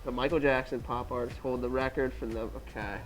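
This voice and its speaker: A man's voice: medium pitch, steady tone, brisk, soft background music, low-quality recording. Self-deprecating young man from Pennsylvania. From Pennsylvania, USA. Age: 20s. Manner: self-deprecating, laughs a lot. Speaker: quiz contestant, graduate student. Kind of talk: casual game show banter. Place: college TV studio.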